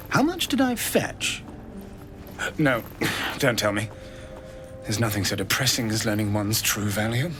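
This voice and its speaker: Dramatic artist in a game, talks slow w big swings in pitch, florid. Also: male voice. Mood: wry, grandiose. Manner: florid, theatrical, hesitant. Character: florid, lovelorn male fantasy painter, theatrical and self-dramatizing. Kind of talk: video game character dialogue. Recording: game dialogue over background music.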